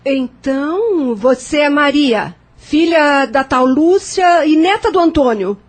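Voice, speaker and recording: High-pitched haughty lady played by an actress. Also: animated, takes it slowly. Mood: haughty disdain. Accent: Brazilian. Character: haughty elegant lady claiming the plantation, imperious. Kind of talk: audio drama acting.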